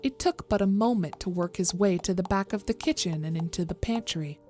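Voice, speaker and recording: A woman's voice: mid-range voice, fairly quick, dark tone, faint music behind. A woman narrating a scripted horror story as its storyteller.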